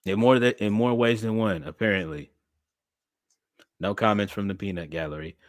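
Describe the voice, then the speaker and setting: A young man, talking to his livestream chat in an animated, preacherly way. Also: fairly low pitch, normal speed, no room sound, clean studio sound. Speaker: streamer and podcast host.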